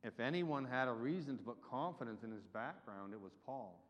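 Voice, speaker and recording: A man's voice: mid-range voice, lively intonation, fairly quick. Male preacher, emphatic sermon delivery, long hall reverb, dull muffled recording. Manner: emphatic preaching, rising and falling in loudness.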